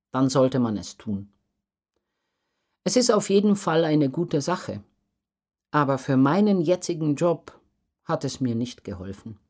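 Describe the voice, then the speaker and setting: Woman answering interview questions, really low mellow voice, takes her time. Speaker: interview guest, professional circus acrobat.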